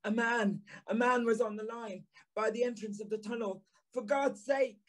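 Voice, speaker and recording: A man playing a troubled, earnest gentleman in an audio play, speaking in a deliberate, dramatic manner. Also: very high-pitched. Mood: panicked. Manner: deliberate, dramatic, halting repetitions. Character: troubled gentleman narrator, earnest, haunted by a nightmare.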